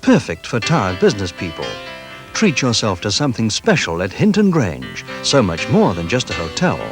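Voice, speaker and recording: Low man's advert voice-over over music. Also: wildly swooping pitch, moderate pace. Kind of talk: scripted promotional narration. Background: loud music.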